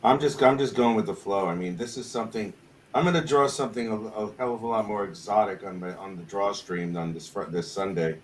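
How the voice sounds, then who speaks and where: A man's voice: lowish voice, brisk, distant mic, slight background noise. A man bantering on a live art stream in a goofy, joking way.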